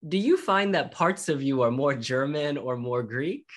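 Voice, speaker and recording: A woman's voice: a bass voice, animated, unhurried. A woman interviewer asking a singer friendly, encouraging questions.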